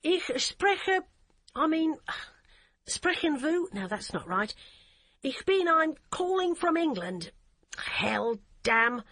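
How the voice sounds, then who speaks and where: A woman reader voices a blunt, plain-spoken female detective who gets flustered on the phone. She is raised in pitch and a touch husky. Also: sing-song, normal speed, sharp tone, exaggerated voice. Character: flustered working-class detective sergeant, woman.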